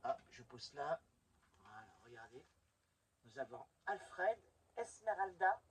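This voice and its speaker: Animated middle-aged man, casual vlogger. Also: a little high, takes it slowly, a little hiss or hum. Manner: enthusiastic, chatty, addresses viewers as friends. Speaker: video presenter showing a collection. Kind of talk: casual hobby vlog presentation.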